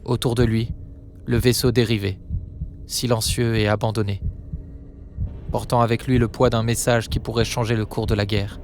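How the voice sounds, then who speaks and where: A man narrating a dramatic audio story in a grave, measured manner. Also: lowish voice, little pitch movement, a little mellow, slight background noise.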